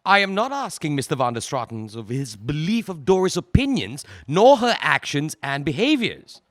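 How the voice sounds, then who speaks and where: A man acting a prosecutor in a 1940s court, questioning in a measured, formal way. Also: fairly high pitch, sing-song, no room sound, clean studio sound. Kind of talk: radio drama acting.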